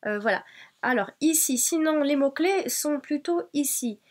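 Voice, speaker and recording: A woman's voice: high voice, expressive, moderate pace, a little mellow. Hesitant woman with a cold. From France. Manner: hesitant, many fillers and restarts. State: has a cold. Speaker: oracle card reader. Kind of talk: casual card presentation.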